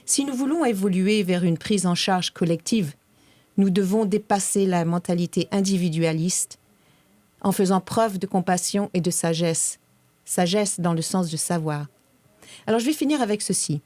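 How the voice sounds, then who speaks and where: Mellow, measured woman reading her essay. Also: lowish voice, no echo. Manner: measured and reflective. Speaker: podcast co-host. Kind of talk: reading a prepared personal essay.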